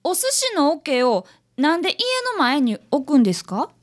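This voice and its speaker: 地域ラジオドラマで、女性が関西なまりのある素直で礼儀正しい小学五年生の探偵見習いの少年を演じている。. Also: female voice, high-pitched, very animated, normal speed. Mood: curious, polite. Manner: polite, eager. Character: earnest, naive fifth-grade schoolboy apprentice detective. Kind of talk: community radio drama acting with narration.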